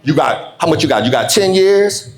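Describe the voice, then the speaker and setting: A man, middle-aged, delivering a motivational speech in an informal, folksy way, calling on his listeners and slowing down for emphasis on key phrases. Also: fairly high pitch, animated. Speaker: motivational keynote speaker. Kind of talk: motivational keynote speech to an audience.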